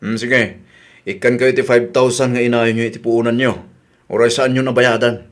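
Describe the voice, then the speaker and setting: A dutiful young adult son played by a man in an Ilocano radio drama. He speaks with an Ilocano accent in a medium-pitched, helpful voice. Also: fairly even intonation, moderate pace, natural voice. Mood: concerned, helpful. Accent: Ilocano Filipino.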